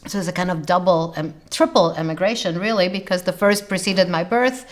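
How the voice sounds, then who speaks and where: A woman in her sixties, from Romania and a native German speaker, recounting her background in a reflective way with frequent pauses and fillers. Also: lowish voice. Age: 60s. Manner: thoughtful, frequent ums and uhs, lingers on words. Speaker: interviewee, scholar. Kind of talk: reflective personal account.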